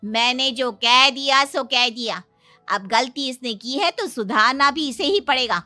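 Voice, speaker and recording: A woman voicing a bossy older mother-in-law with a soft heart in a moral story cartoon. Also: high-pitched, animated, unhurried, no echo, soft background music, full character voice. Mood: stern, commanding.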